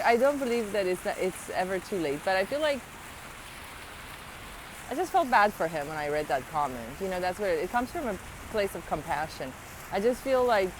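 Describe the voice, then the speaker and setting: A woman's voice: medium pitch, background noise. A woman with a slight foreign accent, talking to her viewers in a casual, earnest opinion vlog with many ums and rising, emphatic questions. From abroad, moved to the United States at twelve. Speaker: vlogger.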